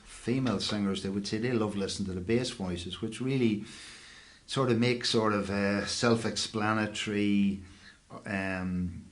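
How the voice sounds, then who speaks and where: A man with a bass voice, hosting an online singalong in a relaxed, rambling chat. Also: measured pace. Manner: relaxed, rambling, thoughtful with fillers. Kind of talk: casual chat.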